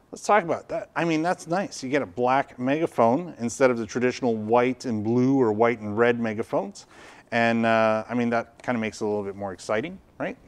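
A man in his fifties hosting a casual product demonstration, explaining things in a conversational way. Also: mid-range voice. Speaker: product reviewer and video host. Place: indoor studio workshop at a tabletop.

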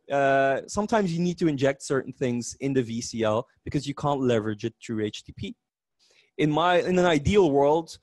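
A man in his forties with a Dutch accent, a native Dutch speaker, giving a technical meetup talk in a lively, joking way with frequent restarts. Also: on the high side, dry recording. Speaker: conference speaker. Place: meetup room.

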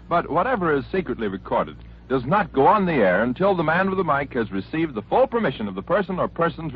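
A man's voice: medium pitch, slight background noise. An American man reading a scripted promo as a 1940s radio announcer. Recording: dull vintage radio recording.

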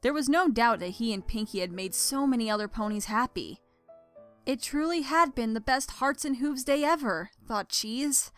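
A woman's voice: a little high, animated, moderate pace, fairly bright tone, soft background music. A woman reading scripted story narration expressively as the narrator.

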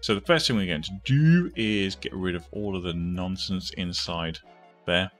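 A man's voice: low-pitched, normal speed, fairly bright tone, faint music behind. A man hosting a step-by-step coding tutorial, informally thinking aloud as he goes. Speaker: tutorial host.

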